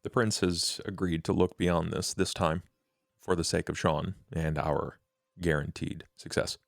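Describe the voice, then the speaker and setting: A man performs a courtly, scheming vampire for a tabletop game. The voice sits very low with a heavy gravelly creak, recorded close and dry. The phrasing is formal, deliberate and world-weary. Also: steady tone, dry recording. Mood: grave, musing.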